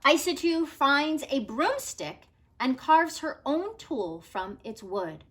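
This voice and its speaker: A woman's voice: sits high, expressive, takes it slowly, fairly bright tone, slight background noise. A woman teacher reading a children's story aloud expressively, with careful, dramatic phrasing and emphasis.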